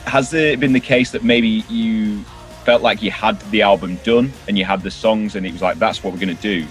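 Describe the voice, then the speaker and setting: Low-voiced male interviewer, music underneath. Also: steady tone, normal speed.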